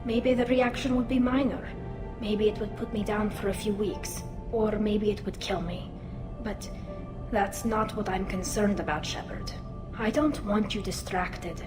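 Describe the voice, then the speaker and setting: Sci-fi game heroine: woman playing a self-conscious masked alien, halting and sincere, echoing voice over prominent music. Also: mid-range voice, steady tone, natural voice. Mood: earnest, anxious. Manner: hesitant, earnest, halting. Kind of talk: cinematic sci-fi game acting. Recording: loud music behind, slight echo.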